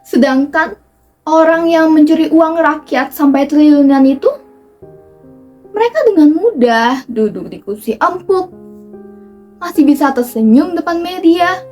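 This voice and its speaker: A woman delivers a dramatic theatrical monologue in an impassioned, rhetorical manner with emphatic pauses. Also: high-pitched, lively intonation, darkish tone. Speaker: monologue performer. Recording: distant microphone with music underneath. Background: background music.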